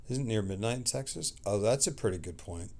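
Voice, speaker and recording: A middle-aged man who sounds tired, chatting casually on a livestream in a rambling, halting way and trailing off mid-sentence. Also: lowish voice, expressive, a little mellow.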